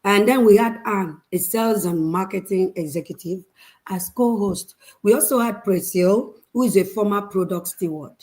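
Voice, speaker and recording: A woman from Nigeria speaking as a panelist in an online show, in a measured and formal way. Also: mid-range voice, darkish tone, poor audio. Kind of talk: video call panel talk.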